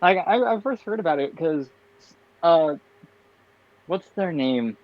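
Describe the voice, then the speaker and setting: A young man co-hosting a casual chat show, talking in a tired, flat monotone with bursts of laughter. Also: fairly high pitch, takes it slowly, slight background noise. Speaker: podcast co-host. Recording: dull, dry lo-fi home mic.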